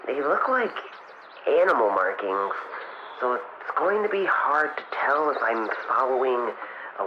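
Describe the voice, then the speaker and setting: Through a muffled radio filter and clear noise comes a man acting as a young fox adventurer in an audio drama. He is earnest and hesitant, taking his time as he records his log and often letting thoughts trail away. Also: mid-range voice.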